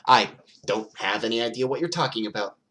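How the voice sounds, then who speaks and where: An earnest teenage would-be villain , read by a man, awkward and determined. He speaks haltingly when embarrassed, in the manner of an audiobook character. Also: mid-range voice, animated, sharp tone, pitched above the performer's own voice. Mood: flustered.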